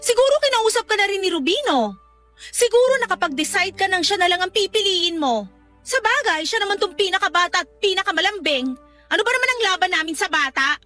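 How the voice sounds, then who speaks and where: A woman's voice: very high voice, animated, brisk, sharp tone, faint music behind, heavily acted. A Filipino actress playing a jealous, fed-up girlfriend, blunt and accusing, in a Tagalog radio drama. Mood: jealous, bitter. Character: jealous, fed-up girlfriend sharing one man.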